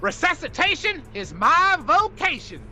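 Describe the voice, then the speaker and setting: A man's voice: very high voice, big pitch swings, lo-fi sound, music in the background, pitched above the performer's own voice, full character voice. A man voicing a goofy, over-the-top video game lifeguard, stretching out catchphrases pompously from the side of his mouth. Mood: showy, playful. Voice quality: spoken through the side of the mouth. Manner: drawn-out words, pompous catchphrase delivery.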